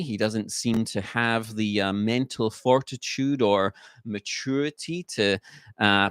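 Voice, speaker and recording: A man giving an unscripted book review in a halting way, full of fillers and restarts, a little croaky from a cold. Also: fairly low pitch, dry recording, studio quality. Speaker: book reviewer, channel host.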